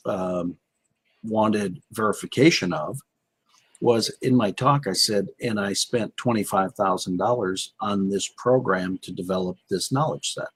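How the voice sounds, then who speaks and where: A man co-hosting a casual business chat with a husky, rough-edged voice, piecing his sentences together haltingly. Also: on the low side. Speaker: co-host and business speaker.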